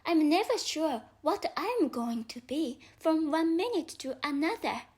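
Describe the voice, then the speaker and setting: An earnest little storybook girl, voiced by a woman, speaks high and unhurriedly. She is polite and truthful, puzzled and a touch hesitant. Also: sing-song, measured pace, darkish tone. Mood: musing, puzzled. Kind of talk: storybook character voice.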